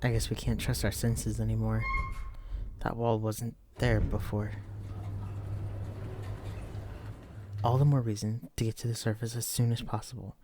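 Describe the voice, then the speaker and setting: A man's voice: on the low side, flat delivery, moderate pace, noisy background, lightly acted. A man playing a wary, protective psychic escapee in an immersive audio drama, speaking directly to a companion. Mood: relieved, earnest. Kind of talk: immersive roleplay audio drama, addressing the listener.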